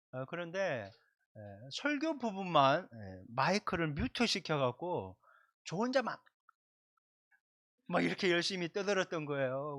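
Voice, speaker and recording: A man's voice: on the high side, a bit bright. A man preaching as a pastor at a Sunday service, speaking emphatically and directly to his congregation. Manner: emphatic, repeats points and addresses the congregation directly. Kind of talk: Sunday sermon with prayer. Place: church service, livestreamed.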